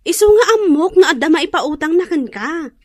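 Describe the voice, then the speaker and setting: A woman's voice: very high voice, expressive, normal speed, no room sound, clean studio sound. A young woman playing a friendly, chatty neighbour in a radio drama, with a Filipino accent. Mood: sympathetic.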